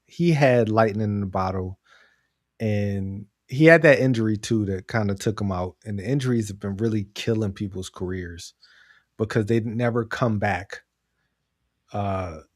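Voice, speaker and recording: A man's voice: on the low side, measured pace, no room sound, clean studio sound. A man co-hosting a podcast in casual chat, giving his opinions and repeating phrases for emphasis. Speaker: podcast co-host. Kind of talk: casual chat, pro wrestling commentary.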